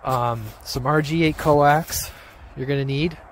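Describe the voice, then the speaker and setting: A man giving a casual, off-the-cuff how-to explanation outdoors in an informal, rambling, halting way. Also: on the high side, fairly even intonation. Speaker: hobby radio vlogger. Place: outdoors among trees. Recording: outdoor handheld video with background noise.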